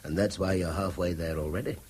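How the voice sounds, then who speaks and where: An actor playing a kindly, wry schoolteacher in a radio drama, with a British accent and an educated, slightly hesitant manner. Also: male voice, deep voice, fairly even intonation, brisk, a little mellow, slightly rough. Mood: kindly, encouraging. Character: kindly, self-deprecating male schoolteacher, easily flustered. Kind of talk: naturalistic radio drama acting.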